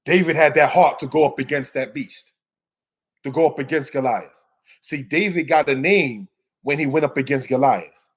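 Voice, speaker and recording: A man's voice: mid-range voice, moderate pace, breathy edge. A man teaching scripture in an informal sermon, exhorting his listeners with emphatic phrasing and rhetorical questions. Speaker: religious teacher giving a scripture lesson. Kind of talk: sermon, informal Bible teaching addressed to a congregation.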